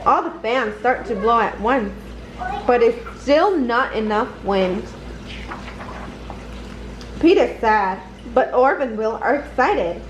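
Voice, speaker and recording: A woman reading a children's picture book aloud in a deliberate, expressive storytelling manner. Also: fairly high pitch, lo-fi sound, a little hiss or hum. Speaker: storybook reader.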